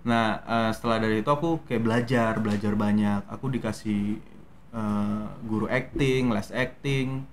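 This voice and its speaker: A young man from Medan with a softened Medan Indonesian accent, a guest actor telling his story in a relaxed, hesitant way with many fillers. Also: fairly low pitch, moderate pace, slight background noise. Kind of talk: casual interview talk.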